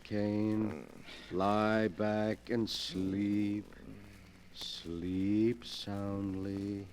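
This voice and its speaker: An actor playing a calm, smug male schemer who coaxes and manipulates, in 1940s radio acting style. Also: on the low side, slight background noise. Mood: scheming. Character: scheming, smug male collector of killers' relics.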